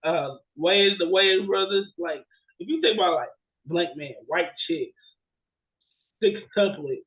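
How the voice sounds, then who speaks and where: Guy w a pretty high voice just chatting on a podcast, rambly and animated. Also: a little slow, narrow, tinny audio. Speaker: podcast co-host. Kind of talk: casual chat about bad movies.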